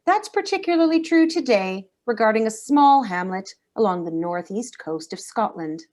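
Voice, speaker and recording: A woman's voice: on the high side, animated, normal speed, darkish tone, natural voice. A woman playing a serious television news anchor in a drama table read, reading in a formal style. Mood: grave, formal.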